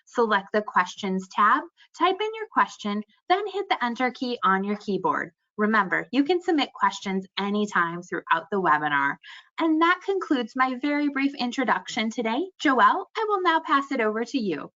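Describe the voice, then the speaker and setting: A woman's voice: a little high, very animated, moderate pace, pushed and bright, dull audio. A woman moderates a webinar and reads out polite, scripted housekeeping announcements.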